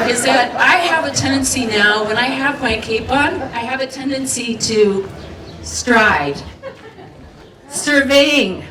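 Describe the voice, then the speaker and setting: A woman's voice: a little high, background noise. A woman giving a playful, chatty keynote talk at a community festival, drawing the audience in. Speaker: festival speaker. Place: community event venue. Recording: roomy hall sound from far off, faint ambience.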